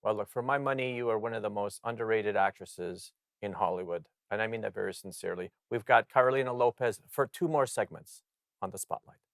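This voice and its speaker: Gravelly-voiced male talk show host. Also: lowish voice, a little fast, no room sound. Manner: earnest, frequent backchannel murmurs. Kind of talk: interview hosting.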